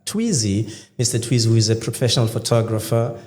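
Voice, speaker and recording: A man's voice: medium pitch, a little fast, a little mellow. A man hosting a casual interview podcast, playful and chatty, with many fillers and restarts. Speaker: podcast host. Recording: echoey room with long reverb.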